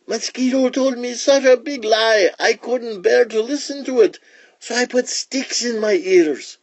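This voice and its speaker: A man's voice: very high-pitched, unhurried, dry recording, voice pushed up, heavily acted. A man voicing an innocent storybook iguana, earnest and anxious to explain himself. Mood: protesting innocence. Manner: protesting, earnest.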